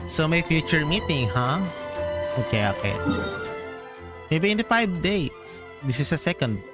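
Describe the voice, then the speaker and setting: A man's voice: on the high side, very animated, measured pace. A man with a Filipino accent, a native Tagalog speaker, giving casual live gameplay commentary as a streamer. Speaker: game streamer. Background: game music.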